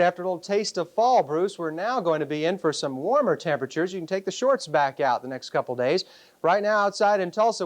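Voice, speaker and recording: A man's voice: sits high, no room sound. A man giving a chatty, conversational weather report on a local television newscast. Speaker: weather forecaster.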